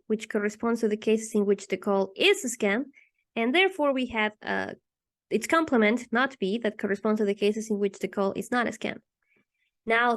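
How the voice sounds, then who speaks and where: A woman teaching, methodically explaining a math problem step by step as she writes it out, with rhetorical questions along the way. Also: on the high side. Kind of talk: explaining a math problem while writing on paper.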